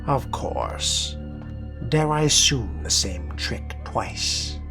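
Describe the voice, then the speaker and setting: A man role-playing a haughty, weary vampire fledgling in a video game, muttering sardonic asides in a deliberate, often whispery way. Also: mid-range voice, sing-song, gravelly, heavily acted. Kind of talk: in-character video game role-play commentary. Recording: music behind the voice.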